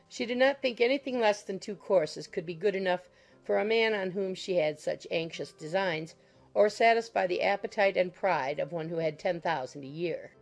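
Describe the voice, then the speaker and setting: A woman narrates a literary audiobook in a slightly low voice. She reads scripted period prose, and music plays softly underneath.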